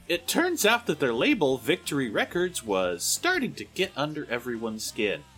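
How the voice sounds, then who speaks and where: A man's voice: on the high side, wildly swooping pitch, moderate pace, a bit bright, breathy edge. A man co-hosting a podcast, recounting music history with wry sarcasm. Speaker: podcast co-host. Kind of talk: casual podcast chat about music history.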